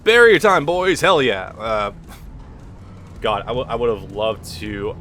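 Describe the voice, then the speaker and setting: A man hosting a let's play from his home computer, rambling frankly and swearing freely in a loud, projecting voice. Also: medium pitch, animated, measured pace, a little hiss or hum. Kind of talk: casual gameplay commentary. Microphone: computer recording mic.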